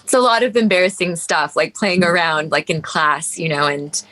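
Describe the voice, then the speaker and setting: A woman with a slightly low voice talks reflectively as an actor on a panel. She is thoughtful and hesitant, assembling her sentences informally as she goes. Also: moderate pace, sharp tone, poor audio.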